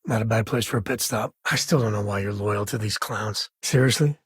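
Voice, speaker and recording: An actor playing a rugged space-ranger father in a video game, with a husky, raspy voice and a wry, earnest, conversational manner. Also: lowish voice, brisk, no echo, studio quality. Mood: somber, earnest. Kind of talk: video game character voice lines.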